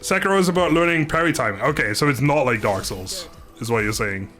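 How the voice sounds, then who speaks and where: A man's voice: mid-range voice, animated, a little fast, a bit bright, soft background music. A man hosting a live game stream, chatting casually and jokingly with his viewers and often laughing. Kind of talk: casual live gaming commentary and chat.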